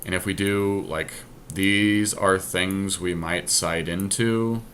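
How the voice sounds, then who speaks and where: A man's voice: low-pitched, fairly even intonation, slow, a bit bright, slight background noise. A man talking casually through card game deck choices, deliberating and reasoning aloud as he goes. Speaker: podcast host drafting a card game deck.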